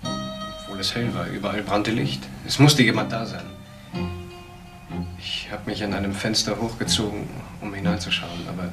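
A male actor playing a troubled suspect giving a halting, deliberate account in a crime radio play. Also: fairly low pitch. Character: troubled male suspect recounting his evening. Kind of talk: radio crime drama acting. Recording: echoey, distant, music underneath.

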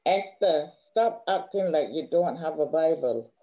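A woman's voice: sits low, a little slow. Stern, bookish big sister in a stage play, Caribbean older woman reader; matter-of-fact, slightly muffled recording. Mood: stern, dry. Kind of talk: rehearsed play reading. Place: indoor room at home, on video call. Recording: dull video call audio.

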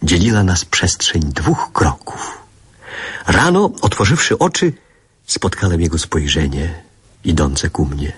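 An actor playing a wistful grown man who narrates memories of his boyhood in a measured, reflective radio drama style. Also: low-pitched, expressive, a bit bright, slightly rough, exaggerated voice.

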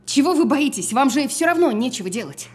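A woman's voice: sits high, animated, fairly quick, soft background music. A woman acting in an audio drama as a weary, despairing, insistent caller who speaks bluntly and with resignation. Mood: insistent, impatient. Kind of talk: audio drama phone dialogue.

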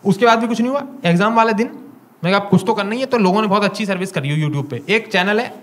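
A young man with an Indian accent doing a stand-up comedy set in a small club, telling stories with animated acted-out dialogue into a handheld mic. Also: sits high, quick pace, noisy background. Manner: animated storytelling, acting out dialogue. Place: small comedy club stage before a live audience. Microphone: handheld stage mic.

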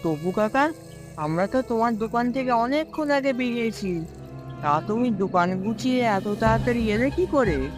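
Casting: male actor as timid village youth and narrator for horror cartoon, high-pitched, music clearly behind. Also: expressive, normal speed. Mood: wary, worried. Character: timid, worried young village man telling his tale. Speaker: narrator and character. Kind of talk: cartoon ghost-story narration and acting.

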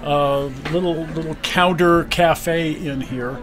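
Older man, host, chatty, fairly high voice, faint music behind. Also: steady tone, slow pace. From Utah. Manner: chatty, nostalgic, laughs easily. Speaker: video host. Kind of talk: casual chat.

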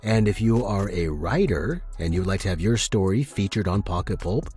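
A man narrating a story as a podcast host, with a husky voice and an expressive delivery. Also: low voice, moderate pace, faint music behind. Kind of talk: expressive first-person audiobook-style story narration.

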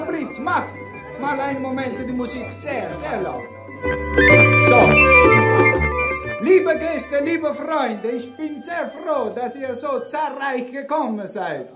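A jovial Italian restaurant owner in a radio play. He has a high-pitched male voice and an Italian accent, and he speaks effusively and without hurry. Loud music and a crowd surround him. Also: expressive, slow, heavily acted. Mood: jovial, welcoming. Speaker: pizzeria owner.

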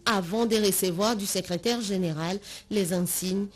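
A Congolese woman narrates a scripted news report. Her voice is of average pitch and noticeably husky. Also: normal speed.

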